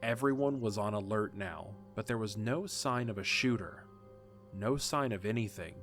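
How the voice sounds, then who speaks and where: Male narrator, low voice, measured scripted reading, faint music. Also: a bit bright.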